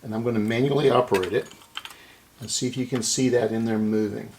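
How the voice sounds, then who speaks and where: A man's voice: medium pitch, fairly even intonation, fairly quick, darkish tone, slight background noise. A man giving a casual hands-on repair narration, explaining and thinking aloud as he works. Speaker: repair video host.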